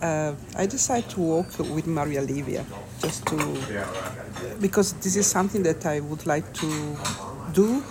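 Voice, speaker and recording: A woman from Italy, answering slowly and hesitantly as she searches for English words. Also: low voice, background noise. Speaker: pilgrim interviewee.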